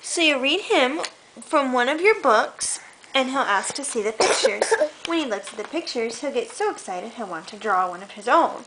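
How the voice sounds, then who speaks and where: A woman's voice: high voice, animated, normal speed. A young woman reading a picture book aloud to a toddler and chatting in a sing-song, coaxing way, recorded on a handheld camera at home. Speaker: parent reading a bedtime story. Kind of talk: reading aloud to a toddler, casual child-directed talk. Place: carpeted living room, sitting on the floor. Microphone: handheld camera a short distance away. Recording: noisy home camcorder sound, handling clicks.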